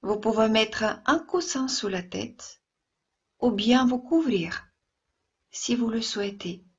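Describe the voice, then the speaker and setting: A woman yoga instructor leading a guided relaxation meditation in a soft, soothing, gentle way, with long pauses between phrases. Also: fairly high pitch, animated, breathy edge, muffled recording.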